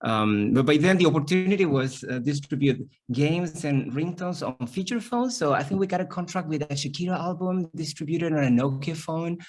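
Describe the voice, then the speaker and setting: A middle-aged man from Chile, a native Spanish speaker with a Chilean accent, lively and storytelling as a company CEO in a call interview. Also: fairly high pitch, moderate pace, hardly any pauses. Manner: lively, storytelling, frequent restarts. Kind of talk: business interview on a video call.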